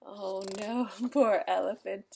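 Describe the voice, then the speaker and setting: A woman's voice: medium pitch, fairly even intonation, slow, gravelly, a little mellow, slight background noise. A woman reading a picture book aloud to children, adding sympathetic asides about the characters. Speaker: read-aloud storyteller.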